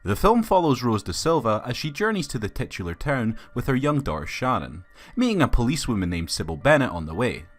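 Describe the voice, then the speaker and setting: Male video reviewer, scripted but colloquial film commentary, animated intonation in a mid-to-low register. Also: brisk.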